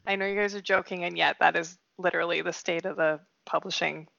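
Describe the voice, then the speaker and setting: A woman's voice: lowish voice, fairly even intonation, quick pace, vocal fry, muffled recording, crowd noise. A woman from Canada with a British Columbia accent, a guest author chatting casually and a little hesitantly on a podcast over a video call.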